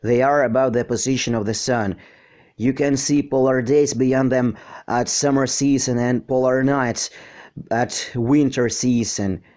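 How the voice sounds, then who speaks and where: A man speaking English as a second language, explaining a science topic slowly with frequent pauses and fillers. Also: medium pitch, steady tone, darkish tone, no echo, studio quality. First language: not English. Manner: slow, hesitant, with frequent fillers. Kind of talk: unscripted educational explanation.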